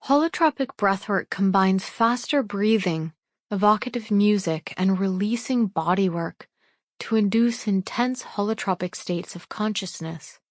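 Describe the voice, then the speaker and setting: A woman reading a book excerpt aloud in a formal, measured audiobook style. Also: medium pitch, no room sound, studio quality. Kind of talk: scripted read-aloud, audiobook-like narration.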